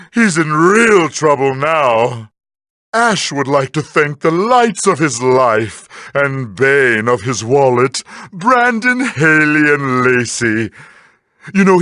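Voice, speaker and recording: An actor playing a sardonic, unhinged villain in a cartoon video game, with a theatrical, sarcastic delivery. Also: male voice, mid-range voice, sharp tone, no room sound, clean studio sound, exaggerated voice. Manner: theatrical, sarcastic, swinging to outbursts.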